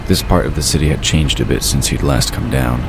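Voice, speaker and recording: A man's voice: very deep voice, fairly quick. A man reading scripted narration for an audio drama. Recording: noisy background of ambience effects and vehicle sound.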